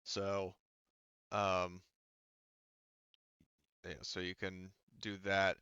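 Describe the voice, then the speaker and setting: Low, clear-voiced male game master, halting. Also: monotone, sharp tone, no echo, lo-fi sound. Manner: halting, self-correcting, heavy filler use. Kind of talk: improvised tabletop game narration, casual.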